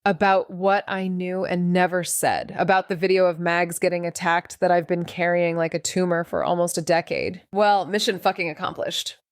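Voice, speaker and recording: A woman's voice: on the low side, flat delivery, moderate pace, few pauses, clean tone, dry recording, studio quality, close to an everyday voice. A woman playing a guilt-ridden, wry amateur sleuth, narrating in the first person in a noir audio drama. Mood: brooding. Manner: wry, introspective, brooding.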